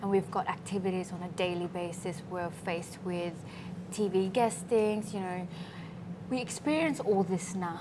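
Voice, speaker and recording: A young woman from the Philippines talks about pageants in a low, relaxed voice, with loose fillers and bits of Tagalog mixed in. Noise runs clearly behind her. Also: normal speed. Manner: relaxed, mixes in Tagalog words, many "you know" and "um". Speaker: interview guest, pageant queen. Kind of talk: casual interview talk. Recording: background noise.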